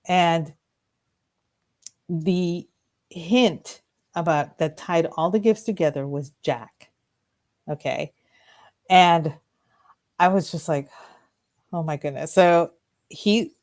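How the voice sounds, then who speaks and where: A woman's voice: on the low side, a little mellow. A middle-aged woman co-hosting a radio talk show, telling rambling personal stories and laughing often. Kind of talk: casual storytelling chat. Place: radio studio.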